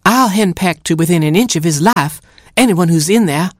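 An indignant, high-pitched mother hen from a storybook, as a man puts her on. She clucks and scolds with a short temper, her voice swooping up and down. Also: big pitch swings, normal speed, no room sound, clean studio sound. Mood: scolding. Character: fussy, indignant mother hen, adult female, quick-tempered. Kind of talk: storybook character voice.

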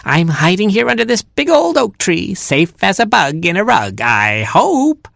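A man's voice: sits high, wildly swooping pitch, unhurried, gravelly, fairly bright tone, dry recording, clean studio sound, voice pushed up, full character voice. A man voicing a mockingbird who pretends to be a dramatic female fox, in a screechy, theatrical voice. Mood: melodramatic. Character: shrill fake female fox, dramatic and mock-tragic.

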